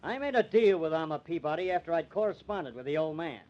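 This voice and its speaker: An actor with an American accent playing a cool, cunning small-town lawyer with a killer's streak in an old-time radio drama. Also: male voice, a little high, expressive, fast, natural voice. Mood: desperate, gloating. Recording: dull old radio recording.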